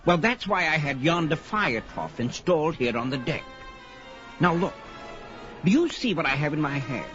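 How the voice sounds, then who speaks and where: Man acting a smug, high-toned scheming viceroy. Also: expressive, normal speed, fairly bright tone. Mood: boastful. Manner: suave, condescending. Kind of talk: pulp fantasy audio drama acting. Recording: dull lo-fi, faint music.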